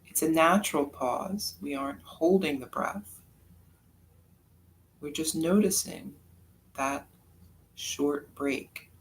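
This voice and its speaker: A yoga nidra teacher leading a guided meditation in a calm, unhurried, soft-spoken way with long pauses. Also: mid-range voice, slight background noise, low-quality recording.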